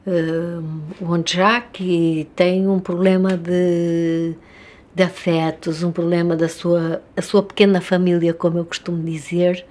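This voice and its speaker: A woman's voice: lowish voice, mellow. An older woman from Angola with an Angolan Portuguese accent, speaking reflectively and hesitantly in a documentary interview. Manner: slow, hesitant, thoughtful with many pauses.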